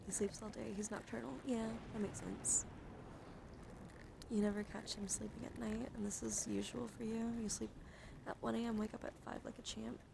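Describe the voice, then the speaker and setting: A woman livestreaming ASMR, chatting softly and soothingly to her viewers with affection, drifting between whispers and gentle speech. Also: on the high side, flat delivery, moderate pace, creaky, background noise. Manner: affectionate toward listeners. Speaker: live streamer hosting ASMR. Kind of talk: ASMR, soft intimate chat with live viewers.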